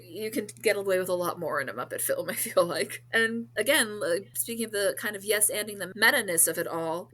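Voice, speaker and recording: A woman's voice: a little high, fairly bright tone. A woman hosting a podcast interview in a casual, chatty and enthusiastic way.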